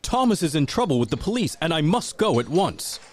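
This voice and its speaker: An actor playing a pompous, blustering railway controller in an audio storybook, speaking emphatically. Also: male voice, fairly high pitch, big pitch swings, fairly quick. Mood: hurried. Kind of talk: audio storybook character acting.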